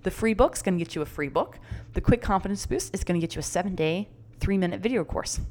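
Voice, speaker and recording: A middle-aged woman coaching her listeners through her own podcast in a bright, encouraging, chatty way. Her voice sits a little low for a woman. She talks with easy fillers and rising enthusiasm. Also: lively intonation, quick pace. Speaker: podcast host and confidence coach. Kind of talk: upbeat solo podcast talk.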